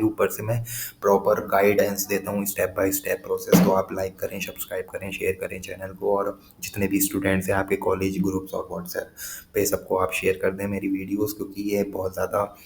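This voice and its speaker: A man's voice: deep voice, darkish tone. A man with an Indian accent explaining things informally to viewers as a video host, repeating points and mixing in English phrases. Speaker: scholarship guidance video host. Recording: clearly noisy background.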